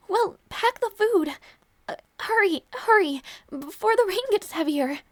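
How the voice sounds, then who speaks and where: A woman playing a gentle, easily flustered young princess in an intimate audio roleplay, speaking hesitantly with small stammers. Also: very high voice, expressive, a little slow, no room sound. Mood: startled, hurried. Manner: hesitant, stammering, soft-hearted.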